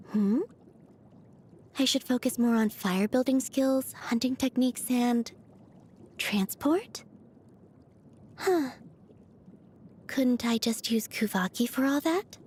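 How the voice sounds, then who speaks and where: A woman voicing a serene, gently curious young moon goddess in a video game, soft, airy and unhurried, with a dreamy, naive manner. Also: sits high, lively intonation, slight background noise. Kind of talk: video game character voice lines.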